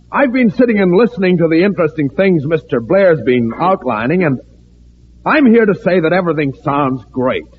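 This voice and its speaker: An actor playing a folksy, pushy newcomer who speaks haltingly and insistently in an old radio drama. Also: male voice, high voice. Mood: folksy, hesitant. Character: folksy, pushy newcomer man stirring up alarm at meeting. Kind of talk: 1940s-50s radio drama acting. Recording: tinny, band-limited old radio recording.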